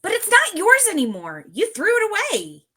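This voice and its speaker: A woman's voice: very high voice, huge pitch swings, moderate pace, sharp tone, exaggerated voice. A woman playing an earnest grade-school girl in a live table read of a holiday cartoon. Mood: earnest, pleading.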